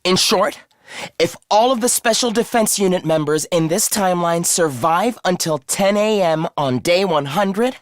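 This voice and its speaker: A man's voice: high voice, unhurried, no room sound, studio quality. A man voicing a smug, theatrical young male student in a video game, mock-polite and taunting. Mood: earnest. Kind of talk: English video game voice acting.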